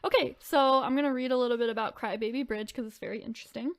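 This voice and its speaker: A woman's voice: a little high, steady tone, fast talker, dry recording. A woman hosting a podcast, chatting informally and reading spooky stories aloud with casual asides. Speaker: podcast host.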